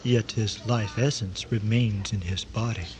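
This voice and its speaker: An actor playing Death as an aloof, stiffly formal gentleman in a radio comedy drama, speaking precisely and deadpan. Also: lowish voice, measured pace, background noise, natural voice. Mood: formal, calm. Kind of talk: old-time style radio comedy drama acting.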